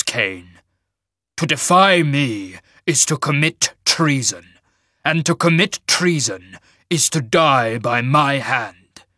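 A man voicing a wrathful, imperious shaman mage lord in a fantasy audiobook, with booming, commanding pronouncements. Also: bright, forceful tone, no room sound, clean studio sound, full character voice. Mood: menacing, grudging. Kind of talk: dramatic fantasy audiobook character.

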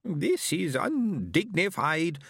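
A man acting out a prim, easily offended alien, high-pitched and slow-spoken. He draws out his words with grand disdain. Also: huge pitch swings, breathy edge, no echo, clean studio sound, full character voice. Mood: indignant. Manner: shrill, indignant, drawn out. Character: haughty fussy alien male Fenobe.

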